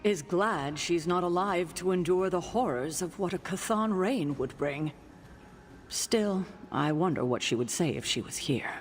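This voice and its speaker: Troubled, formal, weary heroine. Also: female voice, fairly low pitch, lively intonation, measured pace. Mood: anxious, grieving. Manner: formal, self-reproaching, weary. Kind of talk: video game cutscene acting. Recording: music behind the voice.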